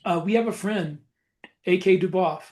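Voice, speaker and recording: A man's voice: sits high, moderate pace, darkish tone. A man co-hosting a podcast, talking shop casually and explaining things with repeated phrases for emphasis. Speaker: author and podcast co-host. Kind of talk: casual shop talk about book publishing.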